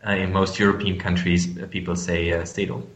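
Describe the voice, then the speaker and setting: A man's voice: deep voice, little pitch movement, brisk, a bit bright, echoey room. A man from Germany with a German accent, answering interview questions about his company in a hesitant way, full of fillers and restarts. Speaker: company representative guest. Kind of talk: business interview.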